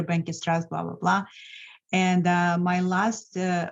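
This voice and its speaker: A middle-aged or older woman from Lithuania, answering as an interview guest in a halting, hesitant way. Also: lowish voice, gravelly. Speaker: interview guest, financial mentor. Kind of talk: conversational interview. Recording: slightly dull, lo-fi audio.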